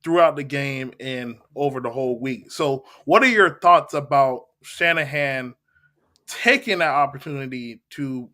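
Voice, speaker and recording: A man's voice: mid-range voice, measured pace. A man hosting a sports talk show, casually setting up a question for a co-host. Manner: relaxed, asks the questions.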